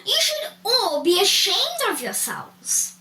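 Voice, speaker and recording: A woman's voice: very high voice, wildly swooping pitch, slow pace, bright, forceful tone, pitched above the performer's own voice, exaggerated voice. A woman voicing a stern, authoritative male grizzly bear in a children's storybook, scolding and commanding. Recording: roomy, distant mic, faint noise.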